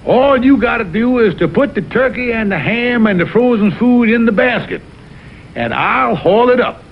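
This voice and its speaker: A slick Southern cartoon fox schemer, high-pitched and swooping in pitch. He blusters and wheedles in old-time TV style. The sound is dull, with music. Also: very animated, moderate pace, a little hiss or hum, heavily acted. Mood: persuasive. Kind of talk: 1960s TV cartoon voice acting. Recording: dull vintage TV audio with music.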